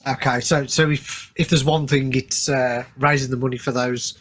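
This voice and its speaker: A man's voice: mid-range voice, fairly even intonation, moderate pace, fairly bright tone. A man hosting a podcast, chatting casually and encouraging his guest with agreeable, supportive replies. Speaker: podcast host. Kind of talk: casual chat, interviewing.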